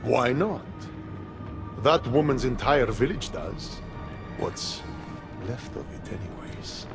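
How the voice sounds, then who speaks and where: An actor playing a mocking, cruel tormentor in a video game, speaking in a sneering, taunting way. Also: male voice, mid-range voice, animated, slow, music in the background.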